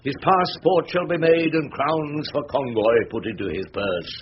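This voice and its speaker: An actor playing a wry, rumpled older English barrister in a radio drama, with an orotund, ironic, rhetorical way of talking. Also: male voice, on the high side, measured pace, natural voice. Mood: grandiose oratory. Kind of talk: BBC radio drama acting and first-person narration. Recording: dull, noisy old radio recording.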